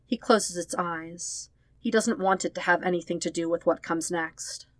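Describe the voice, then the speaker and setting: A woman narrating dramatic fan fiction as an audiobook, with expressive, measured storytelling. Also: on the low side.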